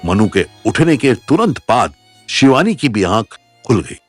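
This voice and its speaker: A man's voice: mid-range voice, sing-song, unhurried. A man narrating a scripted audio story as its storyteller. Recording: music bed behind voice.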